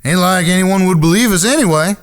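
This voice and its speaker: An actor playing a wary, bold Old West outlaw with a folksy frontier drawl in an audio drama. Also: male voice, high voice, quick pace, lightly acted. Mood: bitter, probing. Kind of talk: western audio drama acting.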